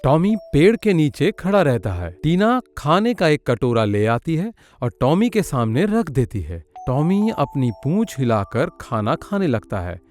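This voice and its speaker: A man's voice: on the high side, big pitch swings, unhurried, few pauses, a little mellow. A man narrating a children's cartoon story in a scripted storytelling style.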